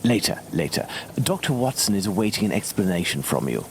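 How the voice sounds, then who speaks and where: A cool, commanding British gentleman detective from a dramatic adventure game. His voice sits low, yet it rises and falls theatrically as he reasons in formal, measured phrases, utterly sure of himself. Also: big pitch swings, a little hiss or hum. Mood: brisk, composed. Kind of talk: dramatic video game acting.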